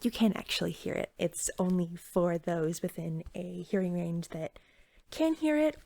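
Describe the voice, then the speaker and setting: Woman as magical pet store cashier, ASMR roleplay, soft and whispery, creaky, eager, friendly, chatty, gently rambling. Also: lowish voice, unhurried. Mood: eager, helpful.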